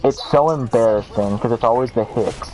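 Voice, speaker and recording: A man's voice: mid-range voice, normal speed, a little mellow, rough recording. A man giving casual, rambling, self-deprecating commentary while playing a video game. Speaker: let's play gamer.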